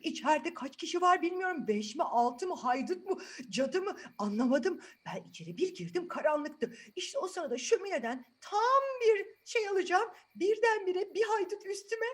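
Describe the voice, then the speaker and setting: A woman's voice: extremely high pitch, animated, normal speed, few pauses, pitched above the performer's own voice. A woman voicing a panicked, indignant robber in a children's fairy tale, exclaiming and asking outraged questions as he complains to his gang.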